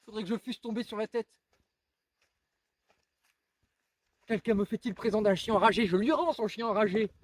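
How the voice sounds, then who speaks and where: A man acting an anguished, bitter and dreamy teenage schoolboy in a declamatory theatrical monologue, swinging between outbursts and hushed musing. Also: high voice, quick pace, no room sound. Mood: agitated, indignant.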